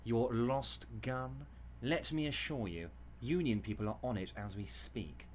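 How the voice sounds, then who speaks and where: An actor playing a large, scheming union boss who talks in a falsely soothing, patronizing way. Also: male voice, medium pitch, unhurried, slight background noise, natural voice. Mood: smug reassurance.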